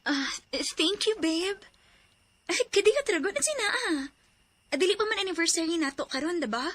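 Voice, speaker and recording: An actress playing a sweet, excitable young girlfriend in a radio drama, gushing with delight. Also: very high-pitched, normal speed, dry recording.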